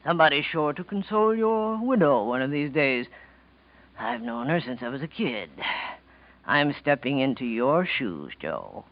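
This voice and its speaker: A man's voice: mid-range voice, sing-song, measured pace. A man acting a scheming, gloating killer in a 1940s radio mystery, jittery and resentful, with a taunting, theatrical delivery on a muffled vintage recording.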